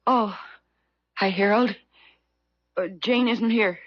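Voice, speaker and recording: Husky, halting secretary. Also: female voice, mid-range voice, no room sound. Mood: guarded. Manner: halting, distracted. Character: flustered female secretary, dreamy and secretly knowing. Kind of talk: 1970s radio drama acting. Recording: dull 1970s radio recording.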